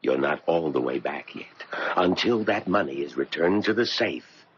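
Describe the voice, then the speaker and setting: An actor playing a mysterious man with a measured, commanding, cryptic manner, a calm guide through time in a 1970s radio drama. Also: deep voice, slight background noise. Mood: firm, knowing. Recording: muffled vintage radio broadcast, music behind.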